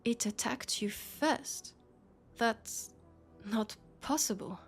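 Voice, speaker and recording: A woman acts out a female police colleague in a sci-fi audio roleplay, with a French accent and an unhurried, hesitant delivery. She is worried and exasperated with her roommate, talking softly and intimately over faint music. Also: fairly high pitch. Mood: exasperated, disbelieving.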